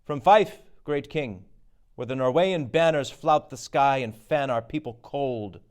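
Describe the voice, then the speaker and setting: A man's voice: medium pitch, measured pace, smooth, lightly acted. Man playing a formal, declamatory noble messenger. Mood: grave, rousing. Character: noble Scottish thane bringing news of victory.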